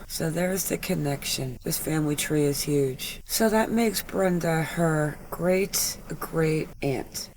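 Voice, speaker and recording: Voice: medium pitch, vocal fry, a little mellow, a little hiss or hum, poor audio. A true crime channel host giving casual, opinionated commentary with lots of filler words. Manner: chatty, filler-heavy, opinionated.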